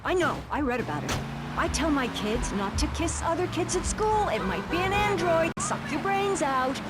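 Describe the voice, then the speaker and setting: Prim, dim, preachy suburban mom, higher-pitched chatter for game radio satire, loud street noise. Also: female voice. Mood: earnest opinionated. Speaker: radio panel guest. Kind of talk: satirical radio comedy acting.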